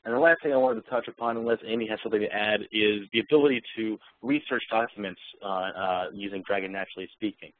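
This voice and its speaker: A man giving a live software demonstration, explaining features and speaking voice commands as he goes. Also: fairly low pitch, fast talker, few pauses, no echo, phone-quality sound.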